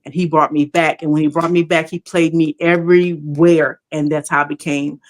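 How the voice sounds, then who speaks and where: Lively woman in her sixties telling stories. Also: deep voice, normal speed. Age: 60s. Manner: animated, laughs often, acts out quoted voices. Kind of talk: casual storytelling chat.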